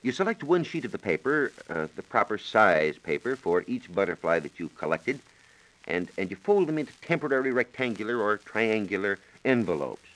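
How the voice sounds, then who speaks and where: An American man giving measured, precise instructions as a science reporter on a scripted 1940s radio program. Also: lowish voice, sing-song, fairly bright tone, poor audio. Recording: 1940s radio broadcast recording.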